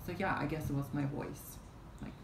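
Low, flat-voiced woman rambling in roomy room. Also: moderate pace, creaky. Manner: rambling, many fillers and restarts. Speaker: vlogger. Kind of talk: casual storytime vlog. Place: small room at home, indoors, fan running. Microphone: camera in front at arm's length. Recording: roomy with fan noise behind. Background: fan hum.

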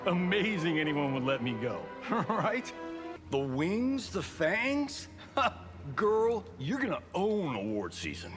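An actor playing a flippant, boastful American Hollywood action star trading slangy banter in a fighting video game. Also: male voice, sits high, very animated, takes it slowly, full character voice. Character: cocky wisecracking male Hollywood action movie star. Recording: game music behind.

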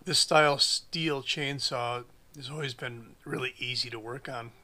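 A man's voice: mid-range voice, steady tone, a little slow, sharp tone. A man in his forties narrating a chainsaw repair at his workshop bench, plain-spoken with dry humor. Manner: plain-spoken, dry humor, thinks aloud while working. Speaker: small engine repair shop mechanic.

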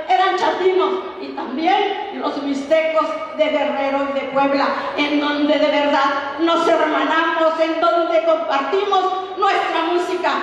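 A woman's voice: very high-pitched, a bit bright, a little hiss or hum. A middle-aged woman from Oaxaca giving an impassioned, declamatory speech on stage into a handheld microphone. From Oaxaca, Mexico. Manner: impassioned, declamatory, slow emphatic phrasing. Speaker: pageant contestant giving a speech. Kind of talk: proud public speech on stage. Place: large hall stage at a cultural festival. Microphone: handheld microphone through a PA. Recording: very echoey hall, captured from far off.

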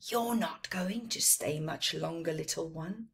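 A woman's voice: low-pitched, measured pace, creaky. A woman voicing a snooty, dismissive adult camel from a children's storybook, disdainful and emphatic. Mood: whispering scorn.

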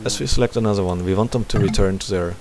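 Low, gravelly man talking through a tutorial. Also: very fast, darkish tone. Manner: thinking aloud while working. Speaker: tutorial host. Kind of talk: casual screen-recorded game tutorial commentary. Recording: faint background hiss.

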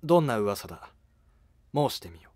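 A man playing a cautious, troubled emperor with formal, measured speech in a historical animated drama. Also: medium pitch, natural voice. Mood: reserved.

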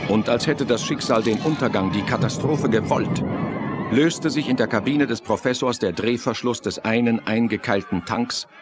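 Male horror audio-drama narrator, mid-pitched, dramatic read over prominent music. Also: normal speed. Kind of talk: dramatic scripted horror radio-play narration. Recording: loud music and sound effects behind.

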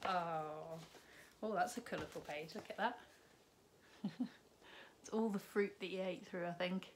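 Woman, thirties, teacher reading a picture book, slow and lively, low-ish voice, faint hiss. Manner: slow, expressive storytime reading with lively rises on key words. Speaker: primary school teacher. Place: living room at home, indoors.

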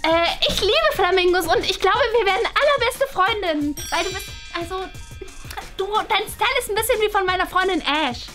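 A sweet, naive schoolgirl played by a woman gushes in an extremely high, girly voice. It is a bubbly children's school skit, with music clearly audible behind. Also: expressive, a little fast. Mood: friendly, gushing. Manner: gushing, naive.

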